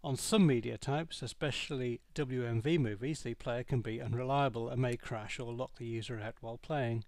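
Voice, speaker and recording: A man reading a scripted product review as narration, in a measured, matter-of-fact way. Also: medium pitch, fairly even intonation, a little mellow, no echo.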